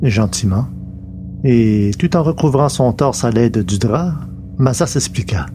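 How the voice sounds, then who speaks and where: A man's voice: medium pitch, lively intonation, unhurried. A man reading scripted narration for an audio story, as its narrator. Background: loud music.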